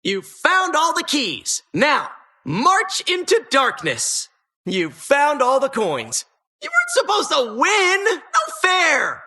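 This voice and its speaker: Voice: high voice, wildly swooping pitch, slow, bright, forceful tone, exaggerated voice. A performer voicing a sardonic, menacing dungeon host in a video game, with dramatic mock-cheerful patter. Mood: exasperated. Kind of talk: video game character voice lines.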